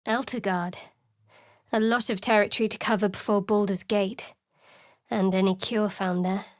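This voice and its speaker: A woman's voice: mid-range voice, steady tone, normal speed, no room sound, natural voice. An actress voicing a guarded, sardonic young woman cleric in a video game, speaking in a dry, reserved way. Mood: matter-of-fact. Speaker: companion character. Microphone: close studio mic.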